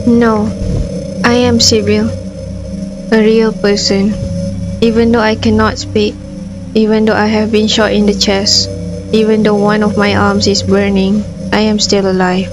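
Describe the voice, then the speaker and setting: A woman doing gameplay commentary, switching between casual reactions and reading story text aloud, with game music behind her. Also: medium pitch. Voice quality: frequent throat clearing. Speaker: gameplay streamer. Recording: background music under voice.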